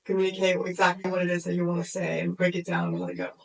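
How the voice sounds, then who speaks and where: A woman's voice: lowish voice, level tone, quick pace, gravelly, recorded from a distance. A woman introducing herself at a workshop in a casual, self-deprecating way. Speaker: workshop participant.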